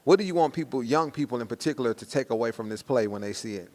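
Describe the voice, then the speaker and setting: Guy hosting a promo interview, talks real fast, slangy hype style, average pitch. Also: expressive, a little mellow. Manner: slangy hype talk, often ending phrases with "man". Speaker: host.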